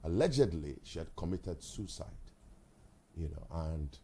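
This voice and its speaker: A Nigerian man hosting a live talk show, reflective, with halting restarts and repeated words. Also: very deep voice, sing-song, warm tone, slightly rough.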